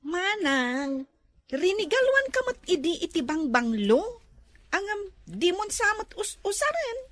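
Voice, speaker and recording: A woman plays a vain, giggly younger sister with a high, lilting voice that swoops up and down. She talks slowly and teasingly, close to the mic, with a dry sound. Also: very animated, fairly bright tone, no room sound, exaggerated voice. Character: vain younger sister fussing over beauty. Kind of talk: comedy web drama acting.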